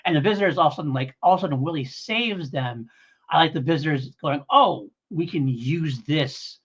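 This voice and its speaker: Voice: mid-range voice, fairly quick, a bit bright, husky. A podcast co-host in a casual review discussion, talking in a hedging, rambling way and thinking aloud. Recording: dull lo-fi audio.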